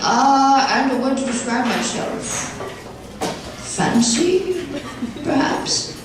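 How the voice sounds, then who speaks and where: A woman voicing a pompous man in his fifties who talks slowly and preciously about fancy cheese. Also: sits high, lively intonation. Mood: snooty. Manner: slow, snooty and precious. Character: pompous fifty-something man fancying himself refined. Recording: echoey room from far off, crowd noise.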